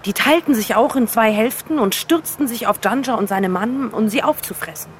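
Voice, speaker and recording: An actress playing a storyteller who tells a strange fairy tale in an emphatic, projected way. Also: female voice, fairly high pitch, moderate pace, lightly acted. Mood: dramatic, emphatic. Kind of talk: spoken fairy-tale narration, outdoor encounter. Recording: noisy location recording with ambient hum.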